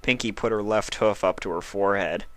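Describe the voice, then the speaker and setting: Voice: deep voice, fairly quick, fairly bright tone, dry recording. A narrator reading a scripted story aloud as a fan fiction reader.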